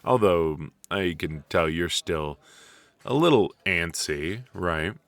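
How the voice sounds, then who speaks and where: Deep-voiced, rambling, flirty coffee date played by a man. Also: animated, dry recording, heavily acted. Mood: curious, sympathetic.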